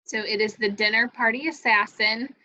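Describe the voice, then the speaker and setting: A woman's voice: on the high side, steady tone, moderate pace, pushed and bright, dull audio. A woman coordinator casually calling out who is in the cast.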